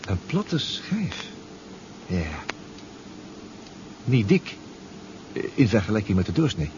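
A man's voice: on the low side, wildly swooping pitch, takes it slowly, exaggerated voice. An actor playing a confident, proud inventor piloting his own submarine in a 1970s radio drama, clipped and matter-of-fact. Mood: focused. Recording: dull, noisy 1970s radio recording.

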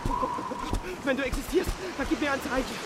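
A man's voice: extremely high pitch, steady tone, fast talker, very noisy. An actor in a radio play playing an unstable, imperious mad king who stammers and pleads desperately. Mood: desperate, pleading.

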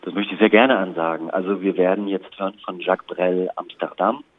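Low-voiced man on a phone-like line. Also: steady tone, brisk. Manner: considered, articulate explanations. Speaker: interviewed radio play author and composer. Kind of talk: reflective interview talk. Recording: muffled, narrow phone-like line.

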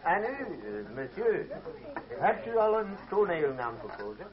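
An actor playing a vain, grumbling troupe leader who mutters and splutters theatrically in a radio play. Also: male voice, sits high, very animated, unhurried, crowd noise. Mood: jovial. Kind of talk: radio drama acting. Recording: dull, muffled old radio play recording.

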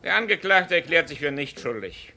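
A stern courtroom judge in a radio crime drama. The voice is very bright and forceful, pitched a little high, with formal, measured declamation. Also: male voice, animated, creaky, heavily acted. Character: stern formal judge reading out a murder charge. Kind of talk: old German radio crime play.

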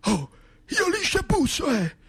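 A man's voice: extremely high pitch, wildly swooping pitch, slow, fairly bright tone, pitched above the performer's own voice, exaggerated voice. A man playing rowdy socialist tavern regulars at cards, trading short questions in central Italian dialect for radio theatre. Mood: bantering.

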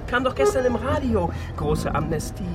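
Excitable chummy East driver. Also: male voice, very high-pitched, normal speed, over loud music. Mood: insistent, excited. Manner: colloquial, enthusiastic, buddy-like. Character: chatty, elated East German male driver, chummy. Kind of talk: radio drama acting.

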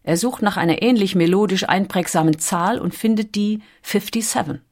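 A woman's voice: mid-range voice, normal speed, a little mellow. A woman reading a scripted documentary audiobook as its narrator. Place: recording studio.

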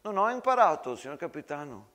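Man acting a humble, eager soldier, pitched high. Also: big pitch swings. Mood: timid, deferential. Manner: deferential, halting. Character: humble, eager young male soldier, deferential and barely literate. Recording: long reverb, loud guitar music behind.

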